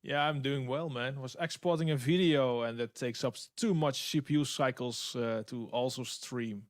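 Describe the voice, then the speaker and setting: A man, a guest on a livestream from his home studio, talking casually and haltingly with many fillers. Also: mid-range voice, no echo. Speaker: guest producer. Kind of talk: casual chat.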